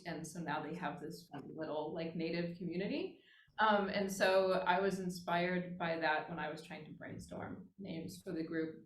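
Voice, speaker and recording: A young adult woman with an American accent, answering interview questions conversationally as a music student. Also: on the low side. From Wisconsin, United States. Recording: echoey room, clear background noise.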